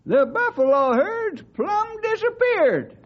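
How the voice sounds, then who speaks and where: An actor playing a cranky, folksy old ranch cook with an American accent and rustic cowboy slang in an old radio drama. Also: male voice, very high-pitched, sing-song, slow, heavily acted. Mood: flustered. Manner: rustic cowboy slang, stammering when flustered. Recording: muffled old radio recording.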